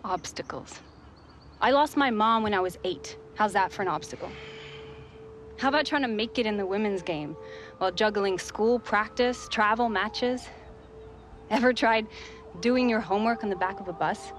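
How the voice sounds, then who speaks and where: A woman's voice: medium pitch, moderate pace, slight background noise, close to an everyday voice. An actress playing a determined, blunt teenage girl footballer and younger sister in a video game drama. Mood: challenging, impassioned. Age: young adult. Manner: blunt, challenging, impassioned. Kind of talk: dramatic game cutscene dialogue. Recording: clear music behind the voice.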